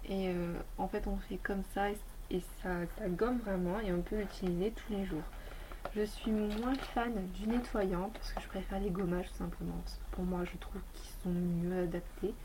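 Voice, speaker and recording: A woman's voice: mid-range voice, fairly even intonation, normal speed, background noise. A woman beauty vlogger with a slight head cold, chatting casually and rambling through a review of her toiletries. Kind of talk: casual product review chat.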